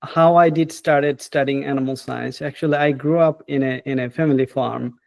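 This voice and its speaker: A man, a professor talking as an interview guest in an unhurried, hesitant way and often adding "right?". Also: medium pitch, steady tone, creaky, a little mellow, no echo. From Bangladesh. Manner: unhurried, hesitant, with frequent "uh" and tag questions like "right?". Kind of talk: casual interview.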